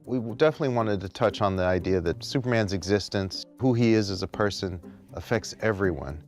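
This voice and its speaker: Male filmmaker, low register, interview commentary over light music. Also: a little fast. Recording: music behind.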